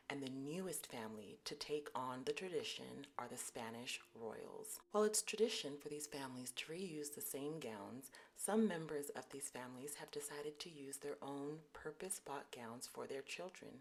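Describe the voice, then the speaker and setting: Low whispery woman narrating. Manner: soft, whispery delivery; deliberately low, put-on serious voice. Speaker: royal history YouTuber. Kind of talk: scripted history narration.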